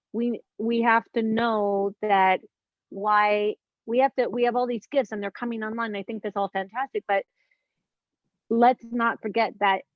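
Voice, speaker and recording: An earnest woman of fifty talks through spiritual ideas in a relaxed conversation, searching for words and circling back as she goes. Her voice sits at an ordinary pitch for a woman. The recording is dull and lo-fi, with a dry, close sound. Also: no echo. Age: 50s. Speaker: guest.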